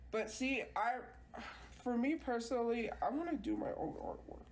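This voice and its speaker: A woman's voice: a little high, expressive, normal speed, darkish tone, a little hiss or hum, poor audio. A woman streaming live from home with a congested nose, chatting in a rambling, hesitant way with many restarts. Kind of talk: casual livestream chat. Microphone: phone.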